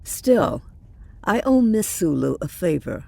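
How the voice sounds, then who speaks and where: Slow, gravelly weary starship captain. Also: female voice, mid-range voice, very animated, warm tone, exaggerated voice. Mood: wry, warm. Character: older weary starship captain, reflective woman. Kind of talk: audio drama acting.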